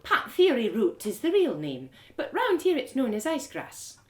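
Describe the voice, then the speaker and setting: Woman playing a high-pitched, animated gossipy innkeeper. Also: normal speed. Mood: merry gossip. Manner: folksy, effusive, rustic turns of phrase. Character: gossipy motherly innkeeper woman, merry but quick to outrage.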